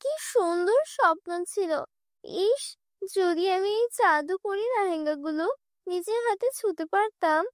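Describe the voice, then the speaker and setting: A woman voicing a gentle, downtrodden young stepdaughter in a cartoon fairy tale, plaintive and pleading. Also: very high voice, a little slow, no echo, studio quality, voice pushed up. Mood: wistful.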